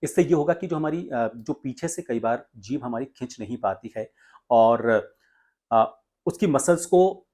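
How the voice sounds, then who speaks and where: An Indian man speaks as a voice coach in an instructional tutorial. His voice sits at a middle pitch. He explains each step deliberately, often stopping mid-sentence before carrying on. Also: expressive, warm tone. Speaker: voice coach and presenter.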